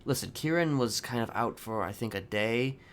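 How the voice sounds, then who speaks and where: A man talking casually in character in an online roleplay game, halting and repeating phrases for emphasis. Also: mid-range voice, animated.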